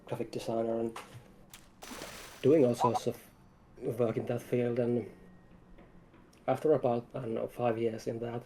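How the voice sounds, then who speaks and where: A man's voice: mid-range voice, flat delivery, unhurried, pauses often, warm tone, slight background noise, low-quality recording. A man talking as a game developer guest in a casual livestream interview, in hesitant, broken phrases.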